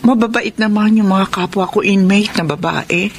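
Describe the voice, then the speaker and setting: A woman's voice: mid-range voice, moderate pace, slight background noise, close to an everyday voice. A woman playing a remorseful middle-aged mother in a Filipino radio drama, plaintive and pleading, with music behind her. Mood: subdued, remorseful.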